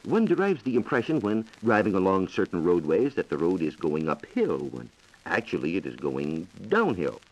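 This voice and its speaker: Low-voiced, animated 1940s radio science man. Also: normal speed, poor audio. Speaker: science reporter answering listener questions. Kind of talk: 1940s radio science program, scripted explanation. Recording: vintage 1940s radio broadcast.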